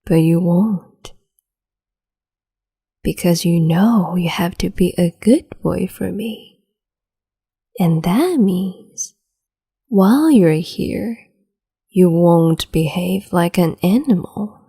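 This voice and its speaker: A woman playing a breathy whispering cruel empress. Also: on the low side, dark tone, full character voice. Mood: cruel doting. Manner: slow, teasing, condescending, doting. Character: cruel capricious female empress, young adult. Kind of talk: ASMR roleplay audio.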